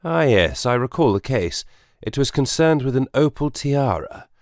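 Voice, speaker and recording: An actor playing a courteous, self-assured Victorian gentleman detective in an audiobook drama, precise and soothing. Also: medium pitch, sing-song, normal speed, dry recording, clean studio sound. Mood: attentive, assured. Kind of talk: full-cast audiobook drama acting.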